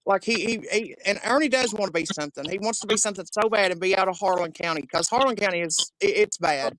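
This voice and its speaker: Butch woman cussing on a live panel, sharp bright tone, no filter. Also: medium pitch, fast, no echo, poor audio. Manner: blunt, profane, emphatic. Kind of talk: heated casual chat.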